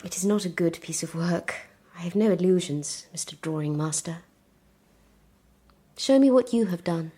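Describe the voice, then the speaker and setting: An actress playing a fragile, self-doubting young gentlewoman in an audio drama, soft and self-deprecating in manner. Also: lowish voice, normal speed, breathy edge. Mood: wistful.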